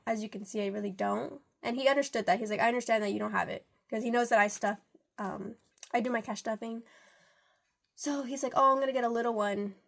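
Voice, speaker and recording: A woman's voice: a little high, gravelly. A young woman with a congested voice from a cold, chatting in a rambling way while counting out cash for her budget. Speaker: budgeting vlogger.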